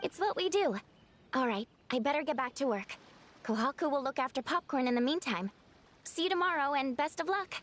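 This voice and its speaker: A woman's voice: sits high, animated, fairly quick, a bit bright, a little hiss or hum. A woman voicing a small, round, helpful dream-eating creature in a video game, speaking earnestly and politely as she explains things. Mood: modest, friendly. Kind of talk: scripted video game dialogue.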